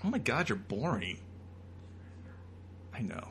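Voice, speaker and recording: A man's voice: medium pitch, big pitch swings, slow pace, a bit bright, slight background noise. A man co-hosting a casual comic riffing commentary, talking in a crude, slangy, mocking way.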